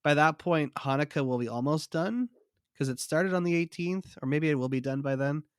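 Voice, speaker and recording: A man's voice: a little high, brisk, clear, resonant tone, no echo, clean studio sound. Stuffy-nosed man chatting on a podcast. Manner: chatty, shares trivia, self-deprecating. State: stuffy from a cold. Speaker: podcast co-host. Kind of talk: casual chat.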